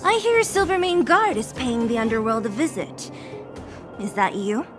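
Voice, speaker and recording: Fierce, high-pitched, scornful brawler. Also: female voice, very animated, normal speed. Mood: irritated. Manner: taunting, confrontational. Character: fierce, scornful young female underground fighter, brash and streetwise. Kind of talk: video game voice acting. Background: game music.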